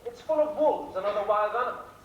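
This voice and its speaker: A man's voice: sits high, quick pace, dull audio, lightly acted. A man playing an earnest, friendly young hero in a stage pantomime, projecting heartily. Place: live theatre stage. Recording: echoey theatre, distant camcorder, faint noise.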